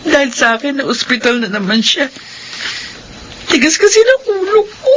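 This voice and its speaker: A tearful high-voiced daughter. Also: female voice, sing-song, normal speed, a bit bright, a little hiss or hum. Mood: crying. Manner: tearful, pleading. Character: Almira, grieving eldest daughter, young adult. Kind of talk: classic radio drama acting.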